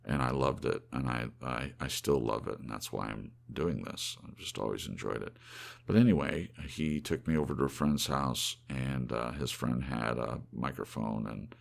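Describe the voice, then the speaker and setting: A man's voice: moderate pace, gravelly. A man narrating as an amateur channel host, with rain and thunder sounds behind him. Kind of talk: poetry reading and casual listener chat. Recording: faint rumbling rain and thunder noise.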